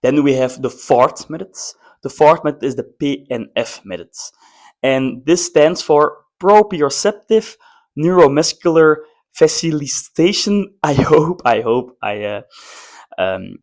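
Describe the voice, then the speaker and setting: A man's voice: on the high side, animated, measured pace. A man who is a non-native English speaker, presenting an explainer in short, emphatic phrases. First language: not English. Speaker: presenter, former athlete. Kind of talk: semi-scripted explainer to camera.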